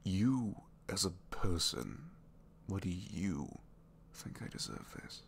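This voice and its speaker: A man playing a captured supervillain in an intimate ASMR roleplay, a gentle, bookish dreamer who speaks softly and hesitantly, often sinking toward a whisper. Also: deep voice, sing-song, slow, creaky, a little hiss or hum, exaggerated voice. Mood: sincere, fond. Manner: hesitant, soft-spoken, rambling, often dropping to whispers.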